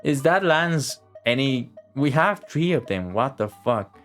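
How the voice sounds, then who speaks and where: A man's voice: mid-range voice, lively intonation, unhurried, a bit bright, soft background music. A man streaming a game with casual, rambling commentary, repeating words and swearing now and then. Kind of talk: casual live gameplay commentary.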